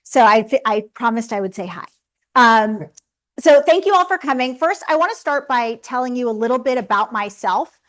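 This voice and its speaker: Woman, forties, psychologist presenter, loud, chatty, friendly, slightly high voice. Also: animated, normal speed. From Los Angeles. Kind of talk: seminar presentation. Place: seminar room.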